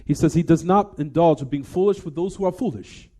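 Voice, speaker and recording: Fast-talking, mellow male religious lecturer. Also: a little high. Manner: didactic, poses rhetorical what-questions to listeners. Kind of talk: religious lecture explaining a text.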